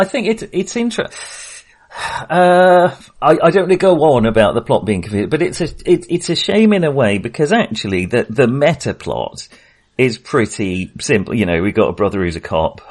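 A man's voice: lowish voice, animated, normal speed. A man co-hosting a film podcast in casual discussion, hedging and correcting himself as he goes. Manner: tentative, hedging and self-correcting.

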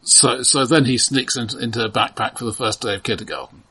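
A man's voice: medium pitch, fairly even intonation, fast, sharp tone, slightly rough. A man co-hosting a casual film discussion, hesitant, often stammering as he starts a thought. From Europe. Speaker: podcast co-host.

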